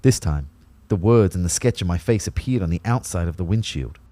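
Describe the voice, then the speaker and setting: A man with an Australian accent playing a bemused, wry first-person narrator in an audio drama, with a husky, expressive voice. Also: sits low, brisk, no room sound.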